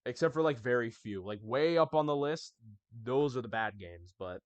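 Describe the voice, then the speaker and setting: A young man talks through his gaming channel's history in a relaxed, chatty way, often turning to his viewers directly. His voice sits at an ordinary middle pitch. The sound is close and dry, with no room around it. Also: normal speed, dry recording, lo-fi sound. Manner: chatty, addresses viewers as y'all. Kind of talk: casual scripted anniversary recap.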